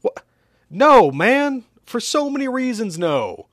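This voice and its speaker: A man's voice: mid-range voice, sing-song, fairly quick, no room sound, studio quality. A man voicing an exasperated, skeptical hero in a comic story, protesting bluntly and with disbelief to his nemesis. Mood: fed up.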